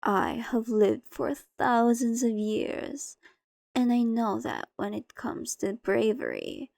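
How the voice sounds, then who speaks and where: A woman's voice: on the high side, big pitch swings, slow pace, creaky, darkish tone, no room sound, clean studio sound, exaggerated voice. A woman playing an ancient, possessive female vampire in an intimate ASMR roleplay, condescending, coaxing and theatrical. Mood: scornful.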